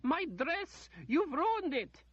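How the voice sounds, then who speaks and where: A man voicing a haughty, shrill noblewoman who shrieks and whines petulantly. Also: very animated, moderate pace, a bit bright, husky, no room sound, muffled recording, exaggerated voice. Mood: imperious.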